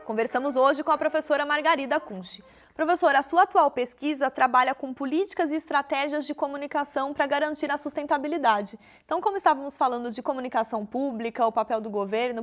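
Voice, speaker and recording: A Brazilian woman hosts a TV interview in a high, fast-moving voice. Her delivery is scripted and articulate, as a programme presenter's is. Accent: Brazilian Portuguese. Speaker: television interview host.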